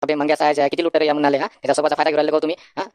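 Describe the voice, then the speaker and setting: Cartoon casting: brash young village guy played by a man, Varhadi Marathi accent, very quick, dry studio sound. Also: on the high side, little pitch movement, a little mellow, no echo, close to an everyday voice. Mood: scolding. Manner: confident, colloquial. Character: brash, take-charge young village friend, male. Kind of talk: comedy cartoon dialogue.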